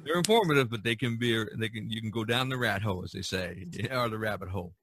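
A man's voice: fairly low pitch, fast, a bit bright. Man podcast host, casual rambling chat.

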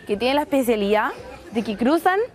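Mujer joven chilena, voz algo aguda. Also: female voice, on the high side, expressive, brisk. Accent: Chilean Spanish. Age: young adult. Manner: chatty, enthusiastic, addresses viewers directly. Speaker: exchange student presenting a travel segment. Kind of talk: casual travel presenting to camera. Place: outdoors in a seaside resort town and on a beach.

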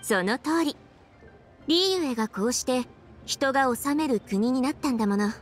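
A woman's voice: sits high, animated, normal speed, faint music behind. An actress playing a thoughtful young woman official in an anime game, composed and articulate as she explains things. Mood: composed, explanatory. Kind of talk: anime video game voice acting.

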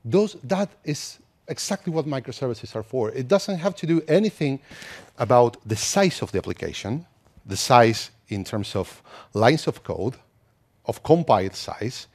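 A man's voice: mid-range voice, moderate pace. A man in his thirties from Argentina, with an Argentine Spanish accent, giving a conversational conference talk with frequent restarts. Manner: relaxed, conversational, with many restarts. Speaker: conference speaker. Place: conference hall, indoors.

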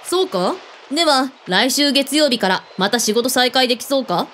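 An actress playing a brusque commanding boss of a covert team in an audio drama, speaking in casual, blunt masculine phrasing. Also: female voice, sits high, animated, normal speed, fairly bright tone, a little hiss or hum. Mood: friendly, upbeat.

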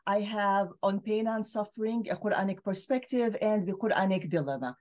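A middle-aged woman from Syria speaks on a video call from a room at home, laying out her points earnestly and carefully. Her pitch sits in the middle range, and her talk is dotted with small fillers and restarts. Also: moderate pace, a little mellow. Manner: earnest, explanatory, frequent fillers. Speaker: guest scholar.

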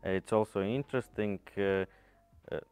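A man's voice: lowish voice, moderate pace, darkish tone, smooth, soft background music. A man in his thirties from Latvia, a native Latvian speaker, hosting an interview in hesitant, filler-heavy English. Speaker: podcast host. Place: dark studio set with red armchairs.

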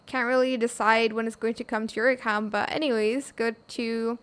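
Female tutorial host, slightly high voice, casual step-by-step narration. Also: flat delivery, moderate pace, a bit bright, clean tone.

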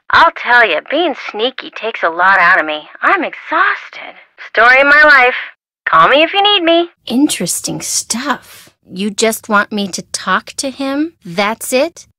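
An actress playing a perky, nervous young woman sidekick in a video game, with an American accent and a chatty, wry, expressive delivery. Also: medium pitch, no echo. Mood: wary. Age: young adult. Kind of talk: scripted video game dialogue, phone-call chatter.

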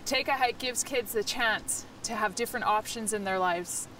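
Thirties woman doing a promo pitch in the woods, bright highish voice, mic a bit far. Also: moderate pace. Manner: upbeat, polished. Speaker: program ambassador. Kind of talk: scripted promotional address. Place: outdoors in a forest. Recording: voice a bit distant, faint outdoor noise.

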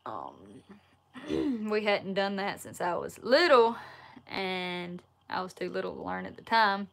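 A woman in her twenties with a Southern Alabama accent, chatting casually on a livestream and answering viewer questions in a relaxed, folksy way from a wood-paneled room. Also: medium pitch, slow pace, gravelly. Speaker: outdoors YouTuber answering live chat. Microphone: laptop or phone camera at arm's length.

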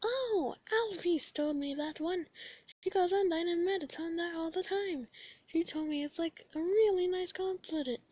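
Comic-dub skeleton brother, female performer: very high, exuberant, innocent, declamatory, dry sound. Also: no room sound, highs cut off. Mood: cheerful, innocent. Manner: loud, declamatory, drawn-out emphasis. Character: exuberant, childlike, devoted male skeleton brother.

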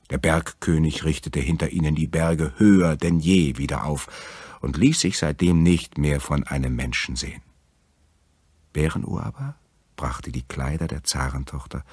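A man's voice: very deep voice, slightly rough, dry recording. A man reading a scripted fairy tale as a storyteller.

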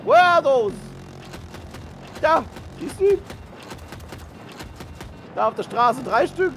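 An excitable gamer chatting casually during play, silly and hyperactive, often exclaiming. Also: high-pitched, slow pace, vocal fry. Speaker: gaming video partner. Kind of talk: excitable casual chat while gaming. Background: video game vehicle and combat noise.

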